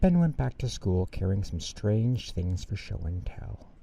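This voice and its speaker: Male storyteller for kids' bedtime tales: lowish gravelly voice, animated range. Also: a little slow, slight background noise. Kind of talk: expressive children's bedtime story reading.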